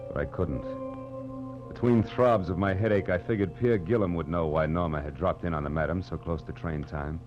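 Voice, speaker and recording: An actor playing a hard-boiled, weary private detective, narrating and talking in terse, wry wisecracks in 1940s noir radio style. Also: male voice, low-pitched, darkish tone, close to an everyday voice. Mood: wry, probing. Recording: muffled old radio recording, music behind.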